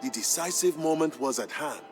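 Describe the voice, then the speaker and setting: An actor playing a proud royal griot, narrating a strategy game's campaign story in a grand, measured and emphatic manner. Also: male voice, on the high side, lively intonation, close to an everyday voice. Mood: grave, suspenseful. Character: proud male griot, a king's humble family historian, solemn storyteller. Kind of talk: dramatic scripted narration for a strategy game campaign. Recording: music behind the voice. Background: background music.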